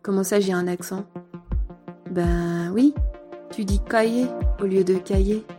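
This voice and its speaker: A French woman with a light Angevin accent, a former schoolteacher gently narrating her own classroom memories. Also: medium pitch, fairly even intonation, music in the background. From France. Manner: gentle, unhurried storytelling. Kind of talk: first-person story narration.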